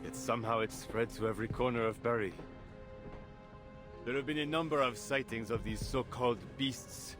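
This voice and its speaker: An actor playing a weary, exasperated police officer in a game, formal and dryly sardonic. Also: male voice, mid-range voice, steady tone, moderate pace, lightly acted. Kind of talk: dramatic game voice acting. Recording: music behind the voice. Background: music.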